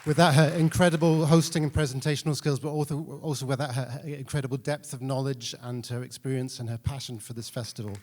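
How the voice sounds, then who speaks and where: A man's voice: mid-range voice, fairly even intonation, quick pace, hardly any pauses, a little mellow, some chatter behind, a little hiss or hum. A man giving an informal, somewhat hesitant tribute speech as an editor at an awards ceremony. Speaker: newspaper arts editor.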